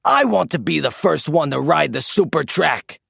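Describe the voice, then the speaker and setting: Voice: medium pitch, lively intonation, moderate pace, gravelly, a bit bright, slightly rough, no room sound, studio quality, heavily acted. A narrator voicing a jealous, scheming male monster truck villain in a children's storybook.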